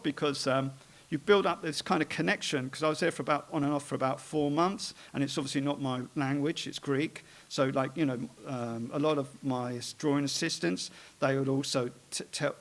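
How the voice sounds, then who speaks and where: A man's voice: mid-range voice, fairly bright tone. Rambling man with many fillers. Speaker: artist giving a talk on his work. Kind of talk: informal talk about his art.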